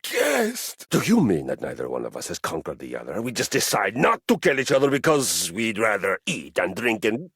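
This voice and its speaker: Boastful Viking brute, low rough voice, wildly swooping delivery, pompous formal phrasing, video game character. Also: male voice, wildly swooping pitch, normal speed, few pauses, fairly bright tone, no room sound, full character voice. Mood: puzzled, proud. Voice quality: gravelly. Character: brash, battle-loving Viking warrior, boastful and bombastic.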